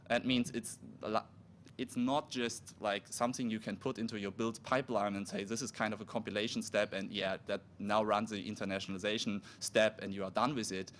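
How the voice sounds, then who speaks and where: A man, a native German speaker, giving a technical conference talk, halting and restarting as he explains. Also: lowish voice, fairly bright tone, slight background noise. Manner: halting, with restarts and self-corrections. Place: conference room.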